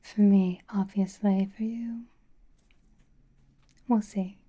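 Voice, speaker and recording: A woman playing a flirtatious, teasing vampire in a whispered ASMR roleplay, with intimate, breathy delivery and soft sighs. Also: mid-range voice, fairly even intonation, slow. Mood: coaxing, flirtatious. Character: flirtatious, possessive vampire companion, teasing and reassuring.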